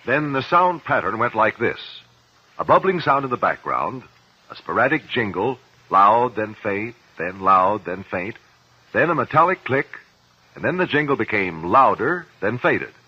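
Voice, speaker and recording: An actor with an American accent playing a composed, probing courtroom investigator in a 1950s radio drama, speaking in a deliberate, formal, precise way. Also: male voice, on the low side, very animated, husky, exaggerated voice. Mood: calm, probing. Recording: muffled old 1950s radio recording.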